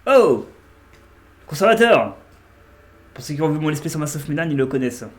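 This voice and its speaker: A man's voice: on the high side, very animated, normal speed. A man commentating a horror game casually, with a slightly stuffy nose and a joking, chatty way of talking. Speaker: gaming youtuber. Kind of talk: casual let's play commentary.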